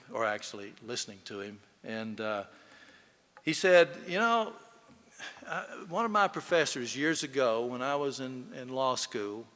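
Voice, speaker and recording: A man's voice: mid-range voice, a bit bright. A man giving a eulogy, telling folksy anecdotes to an audience in an emphatic storytelling manner. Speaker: eulogy speaker, fellow lawyer. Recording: echoey hall with long reverb.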